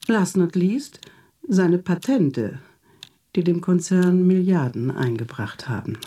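An older, composed lab director. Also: female voice, lowish voice, lively intonation, takes it slowly, dark tone, husky, heavily acted. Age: 60s. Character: older female lab chief, composed and factual. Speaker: head of a research lab. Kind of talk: radio drama acting.

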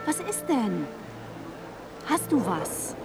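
A woman's voice: fairly high pitch, sing-song, slow pace, full character voice. An actress playing an impatient, nagging woman who sounds exasperated in a naturalistic radio play, with music behind her. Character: impatient, nagging female partner kept waiting.

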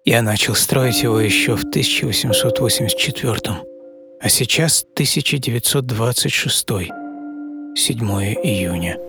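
An actor playing an eccentric, dreamy architect in his seventies in a theatrical radio monologue full of pauses. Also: mid-range voice, lightly acted. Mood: hushed, reverent. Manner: theatrical, pausing, swinging from hushed to emphatic. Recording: studio voice over prominent music.

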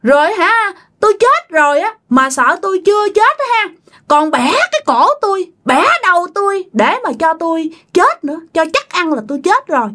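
A woman doing a shrill, high-pitched vengeful ghost. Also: big pitch swings, moderate pace, sharp tone, heavily acted. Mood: bitter, accusing. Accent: Southern Vietnamese. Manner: accusing, curt, repetitive questioning. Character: vengeful ghost of a murdered grandchild possessing a man.

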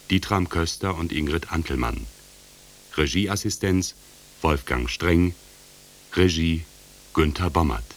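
A man reading scripted closing credits as a radio announcer in a formal, measured manner. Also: low voice.

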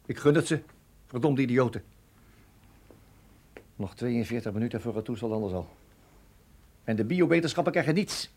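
A man acts out a temperamental military colonel in a radio play. His voice is husky and mid-pitched, and he talks in a gruff, grudging grumble, blunt and easily irritated. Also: darkish tone, close to an everyday voice. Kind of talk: Dutch radio drama acting.

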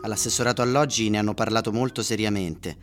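An actor playing an envious, excitable male office worker in an Italian radio drama, animated and insistent. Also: lowish voice, quick pace, faint music behind, close to an everyday voice.

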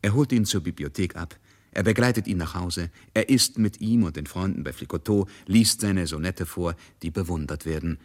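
Deep-voiced male radio drama narrator. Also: moderate pace, darkish tone.

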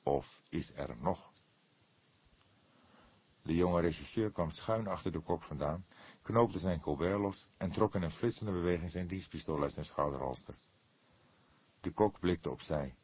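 Deep, husky male audiobook narrator. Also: moderate pace, a little mellow. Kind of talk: scripted detective story narration.

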